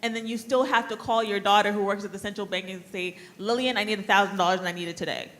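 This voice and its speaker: A woman speaking informally from the floor at a conference, candid and wry, in a halting, discursive way. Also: medium pitch, fairly even intonation, a bit bright. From Liberia, grew up in the US. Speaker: audience member, central bank staffer. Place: conference hall, audience floor. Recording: noisy conference room microphone.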